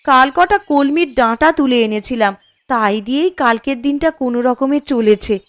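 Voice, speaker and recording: A woman's voice: sits high, normal speed, lightly acted. A woman voicing a needy, polite young village housewife in a cartoon, pleading and deferential as she talks. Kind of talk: cartoon character dialogue. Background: music.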